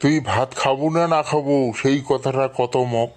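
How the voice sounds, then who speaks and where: A man's voice: mid-range voice, fairly even intonation, normal speed, over music, lightly acted. A man voicing a pleading, exasperated village father in a comedy cartoon, with a North Bengal accent. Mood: scolding. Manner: coaxing, exasperated.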